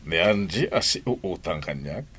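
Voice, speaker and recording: A man's voice: low voice, animated, a little slow, a bit bright, a little hiss or hum. An actor with an Ilocano Filipino accent playing an older, prodding and suspicious father in a radio drama. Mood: prodding, disapproving.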